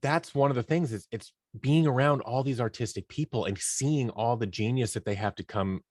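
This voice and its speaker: A man's voice: mid-range voice, normal speed, darkish tone, no room sound, studio quality. A man giving casual, conversational answers as an interview guest, telling stories and acting out little quoted exchanges. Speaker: interview guest, audio drama producer leading a creative team.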